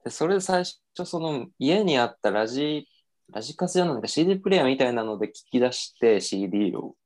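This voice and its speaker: A man's voice: mid-range voice, lively intonation, fast talker, a little mellow. A man in his twenties telling stories as a podcast guest, rambling and correcting himself in casual chat. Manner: rambling storytelling with self-corrections and laughs.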